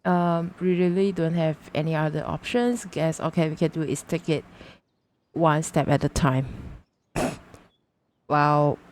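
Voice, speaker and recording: Woman, casual livestream game commentary: slow, hesitant delivery, lowish pitch, thinking out loud. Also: a little hiss or hum. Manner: halting, thinking aloud. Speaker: live streamer.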